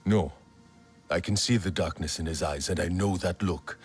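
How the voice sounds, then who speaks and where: An actor playing a grave, vengeful male alien warrior in a video game, speaking in a formal, solemn and deliberate way. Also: low-pitched, soft background music, lightly acted. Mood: brooding, resolute. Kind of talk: video game character acting.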